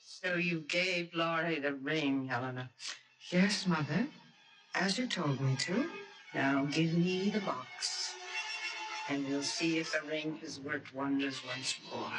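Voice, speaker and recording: Sinister ageless woman, ominous and unhurried, eerie radio drama over prominent music. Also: low-pitched, poor audio. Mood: sinister, calm. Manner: deliberate, ominous. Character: sinister, ancient mother and her dutiful daughter.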